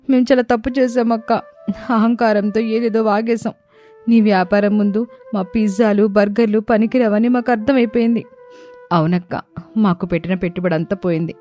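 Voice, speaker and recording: A woman's voice: mid-range voice, fairly even intonation, moderate pace, dark tone, soft background music, close to an everyday voice. A woman voicing a plaintive young daughter-in-law in a moral family story. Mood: remorseful, worried. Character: proud young daughter-in-law, complaining then remorseful.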